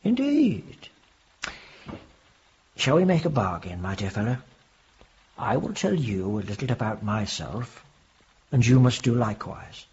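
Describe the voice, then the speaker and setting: An actor playing a kindly, talkative elderly clergyman in a radio drama, with a husky voice and courtly, old-fashioned speech. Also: medium pitch, big pitch swings, measured pace, pauses often, a little mellow, lo-fi sound, heavily acted. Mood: genial, teasing. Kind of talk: theatrical radio drama acting.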